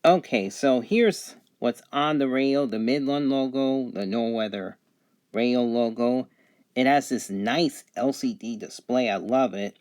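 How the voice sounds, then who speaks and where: A man giving an unscripted product review, going item by item in a matter-of-fact, halting way. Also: medium pitch, darkish tone.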